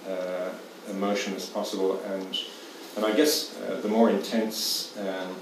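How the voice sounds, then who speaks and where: Deep-voiced Irish man, echoey hall. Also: flat delivery, a little slow, recorded from a distance, noisy background. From Ireland. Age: 50s. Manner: hesitant, many fillers and repeats. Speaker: poet. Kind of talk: panel Q&A answers. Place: stage in a dim theatre hall. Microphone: far camera.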